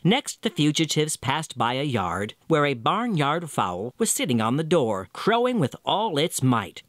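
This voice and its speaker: A man reading a scripted fairy tale as storyteller, with expressive delivery. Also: fairly high pitch, a little slow, no room sound, clean studio sound. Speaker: storyteller, narrator.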